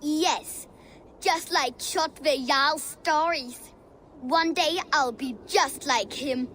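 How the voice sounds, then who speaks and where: A woman's voice: high-pitched, very slow, a bit bright, slight background noise, heavily acted. A woman voicing an eager, boastful young child who plays at Viking raiding in a video game. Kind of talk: video game cutscene acting.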